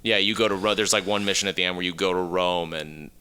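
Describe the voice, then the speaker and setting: A man's voice: low voice, steady tone, quick pace, bright, forceful tone, clean tone. A man co-hosting a podcast in casual chat, with a blunt, emphatic way of talking. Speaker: podcast co-host.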